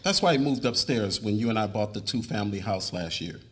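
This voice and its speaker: A man's voice: lowish voice, animated, a little fast, fairly bright tone. A man playing an earnest middle-aged husband and father in a live dramatic reading, with an explanatory, confessional way of talking. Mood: confessing, earnest. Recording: live reading with room noise.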